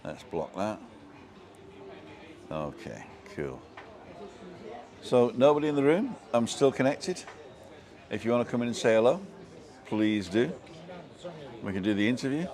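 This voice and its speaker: A man from Manchester with a soft local English accent, hosting a casual live stream and chatting with viewers as he reads their comments. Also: mid-range voice, sing-song, unhurried, pauses often, a crowd in the background. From Manchester, England.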